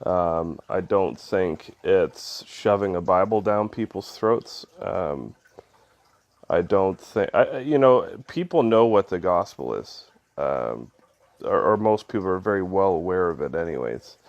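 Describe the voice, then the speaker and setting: Man, deep and congested, nasal from a head cold; easygoing devotional talk full of fillers, dull lo-fi sound. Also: lively intonation, unhurried, creaky, darkish tone. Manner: loose and conversational, many ums and uhs. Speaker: devotional video host. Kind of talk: casual spoken devotional.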